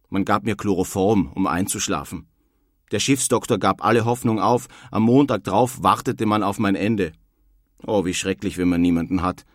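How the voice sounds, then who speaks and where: A man's voice: fairly low pitch, no echo, clean studio sound, lightly acted. An actor playing an earnest nineteenth-century emigrant, reading his letters home in a dramatized style. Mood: distressed, plaintive. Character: earnest male 19th-century German emigrant writing home.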